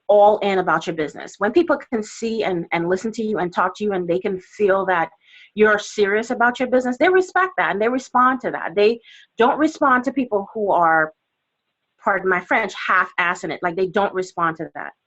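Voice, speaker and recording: A woman with a Trinidadian accent coaches a sales team over a webinar in an energetic, chatty way. She fills her talk with "you know" and acts out little quotes. Her voice sits at a middle pitch, and the call audio is slightly dull. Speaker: guest trainer on a team webinar.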